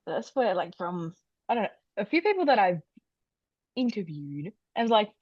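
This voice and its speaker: A woman hosting a casual podcast interview, halting and full of fillers as she frames her questions. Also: mid-range voice, very animated, dry recording, dull audio. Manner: halting, filler-heavy, giggly. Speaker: podcast host.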